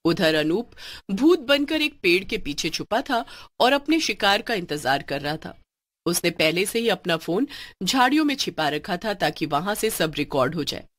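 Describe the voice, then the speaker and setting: A woman's voice: fairly low pitch, big pitch swings, normal speed. A woman reading a scripted story as its narrator.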